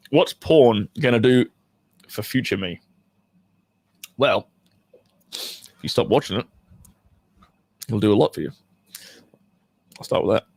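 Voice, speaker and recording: Gravelly-voiced man chatting casually on a livestream. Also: medium pitch, animated, slow, long pauses, a little mellow, dry recording. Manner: rambling, profane, joking. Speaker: adult film performer hosting a livestream. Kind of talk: casual livestream commentary to a chat audience.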